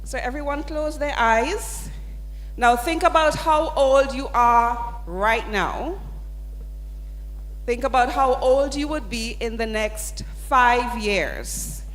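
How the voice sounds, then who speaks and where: A woman's voice: on the high side, a bit bright. A woman, speaking as a sponsor's representative and leading an audience through an interactive exercise in an upbeat, coaxing way. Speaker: sponsor representative presenting at a student event. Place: large hall. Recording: hall reverb.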